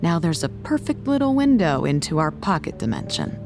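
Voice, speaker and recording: Woman acting a low-voiced sceptical scientist over music. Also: wildly swooping pitch, moderate pace, gravelly, heavily acted. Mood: dry, explaining. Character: sceptical, pragmatic female research scientist. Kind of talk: naturalistic audio drama acting.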